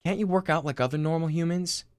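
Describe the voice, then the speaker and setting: A man's voice: fairly high pitch, fast, no room sound, clean studio sound, pitched above the performer's own voice, natural voice. Man playing an exasperated, sarcastic teenage boy. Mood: incredulous. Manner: sardonic, incredulous. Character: exasperated, sarcastic eighteen-year-old son.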